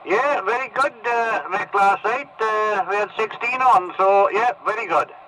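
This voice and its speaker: Guy with a high voice rambling on cb radio, loads of static, bit hesitant. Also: unhurried. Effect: CB radio transmission. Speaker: radio net controller. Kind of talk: casual amateur radio chat. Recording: very noisy CB radio reception.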